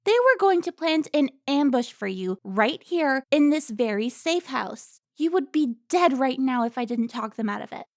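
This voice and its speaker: A woman plays a stern female vampire hunter from an audio drama. Her voice is on the high side with a gravelly creak, recorded dry and close. She is protective, commanding and quick to scold. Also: animated, darkish tone, no room sound. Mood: furious, scolding. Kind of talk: cinematic audio roleplay acting.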